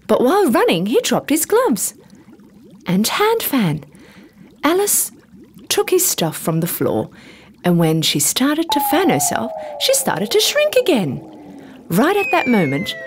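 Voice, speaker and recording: A woman reading a scripted bedtime story for children in an expressive, deliberate storytelling manner. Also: on the high side. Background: background music.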